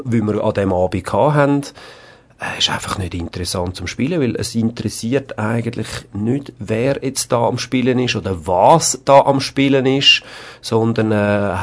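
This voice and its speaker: A man, a band musician talking hesitantly, with many pauses, in a radio studio interview. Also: mid-range voice.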